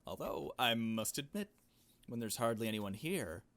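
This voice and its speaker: Low-voiced, lively man narrating a game. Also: normal speed, no room sound. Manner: slangy, sweary, informal. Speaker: let's player reading a visual novel aloud. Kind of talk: casual gameplay commentary and narration.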